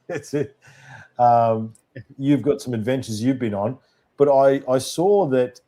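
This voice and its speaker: A man's voice: medium pitch, warm tone. An Australian man hosting a casual in-person chat, jokey and matey, rambling between topics. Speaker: podcast host.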